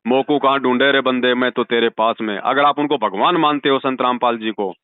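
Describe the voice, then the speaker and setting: A man counsels a caller in a preachy, insistent manner, with a mid-pitched voice. It comes through a thin, narrow telephone line with very little room sound around it. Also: fairly even intonation, fairly quick, clear, resonant tone, no echo. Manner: insistent, preachy, quotes devotional verses. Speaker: spiritual counsellor on a devotee phone call. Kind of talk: religious counselling over the phone. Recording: tinny phone call recording.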